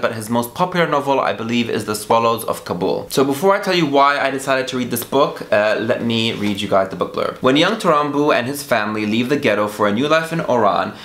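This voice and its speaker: A man with a medium-pitched voice talks about his new books in a loose, chatty way. His first language is Arabic. He sounds eager, and he often softens a claim with a quick "I think.". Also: reverberant. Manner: enthusiastic, chatty, trails off with hedges like "I think". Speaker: book vlogger. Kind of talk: casual book haul chat to camera.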